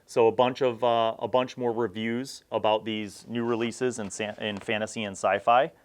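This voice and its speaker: A man's voice: fairly low pitch, little pitch movement, moderate pace. A middle-aged man hosting a casual review, talking in an enthusiastic, emphatic and conversational way. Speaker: host of a tabletop gaming review series.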